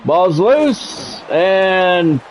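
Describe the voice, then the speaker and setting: A man's voice: sits high, big pitch swings, very slow, slight background noise, poor audio. A middle-aged man doing live football game commentary mixed with casual chat, talking in a blunt, profane, conversational way. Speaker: game streamer and play-by-play commentator.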